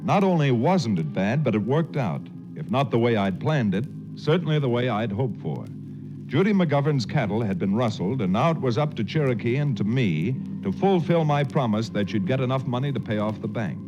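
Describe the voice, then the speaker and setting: An American actor playing a steady, commanding cowboy hero who also tells his own tale, in 1940s radio western style. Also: medium pitch, normal speed, muffled recording, lightly acted. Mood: confident narration. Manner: cool, authoritative, folksy. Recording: 1940s radio recording with music.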